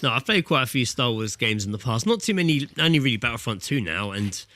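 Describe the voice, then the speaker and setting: A man's voice: medium pitch, big pitch swings, fast talker, pushed and bright, no echo. A British man co-hosting a podcast, chatting informally about video games with some swearing. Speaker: podcast co-host. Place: indoors, remote internet voice call. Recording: dry, close voice-call sound.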